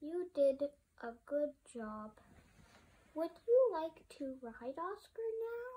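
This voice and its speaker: Woman reading as a kindly, slow-talking storybook farmer. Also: sits high, very animated, warm tone, exaggerated voice, rough recording. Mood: friendly, encouraging. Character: friendly, helpful male farmer in a children's storybook.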